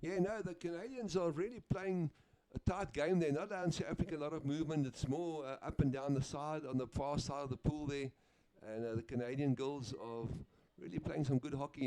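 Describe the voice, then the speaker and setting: Guy doing sports commentary, laid back, lots of uhs, mellow voice. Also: mid-range voice, low-quality recording. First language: Afrikaans. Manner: easygoing, chatty, with frequent uhs and name slips. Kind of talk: live play-by-play commentary.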